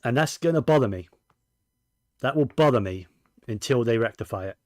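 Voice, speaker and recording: A man's voice: medium pitch, expressive, normal speed, dry recording, clean studio sound. A man giving casual, rambling gaming commentary, often correcting himself as he goes. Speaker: game streamer.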